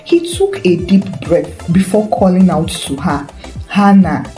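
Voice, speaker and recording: A woman's voice: on the low side, sing-song, a little slow, warm tone. A woman narrating a dramatic scripted story as a storyteller. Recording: roomy echo with faint music. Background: faint background music.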